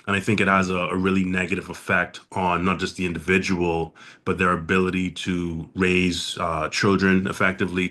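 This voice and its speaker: A very deep, low man's voice from the Bahamas, speaking in an even, steady tone that barely rises or falls. He talks in a measured, reflective way, often pausing to find his words as a podcast guest. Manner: measured, thoughtful, with frequent "uh" pauses.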